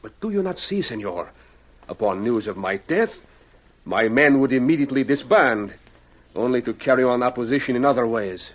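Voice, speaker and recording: Actor as impassioned Mexican revolutionary, muffled vintage radio. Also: male voice, medium pitch, expressive, normal speed, lightly acted. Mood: urgent, earnest. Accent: Mexican Spanish. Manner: earnest, formal, theatrical phrasing. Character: fugitive Mexican revolutionary leader, impassioned, proud, courteous. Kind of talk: old-time radio drama acting.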